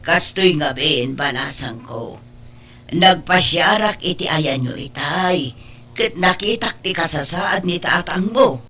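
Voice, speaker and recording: A woman's voice: lowish voice, lively intonation, slow pace, fairly bright tone, slightly rough, full character voice. A woman playing a concerned, meddling middle-aged aunt in an Ilocano audio drama, fretful and chiding, with an Ilocano accent. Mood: grave, confiding.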